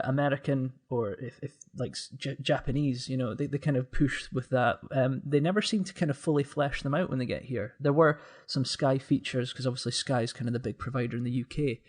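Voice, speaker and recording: A man's voice: mid-range voice, fairly quick, few pauses. A man chatting casually as a podcast panelist, often repeating words as he forms his point.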